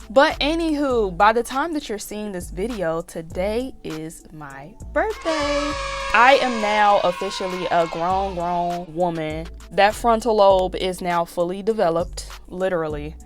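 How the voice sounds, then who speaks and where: A woman in her twenties talking candidly in a casual confessional vlog, slightly raspy from allergies, with lots of fillers and restarts. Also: mid-range voice, sing-song, normal speed, soft background music. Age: 20s. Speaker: YouTube vlogger.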